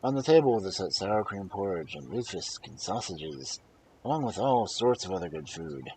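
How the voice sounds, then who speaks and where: Male storyteller, lower register, very expressive read-aloud with dramatic emphasis. Some noise in the recording. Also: poor audio. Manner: animated, dramatic pauses and emphasis. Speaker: storyteller and host of a live reading stream. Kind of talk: expressive folktale read-aloud with casual asides.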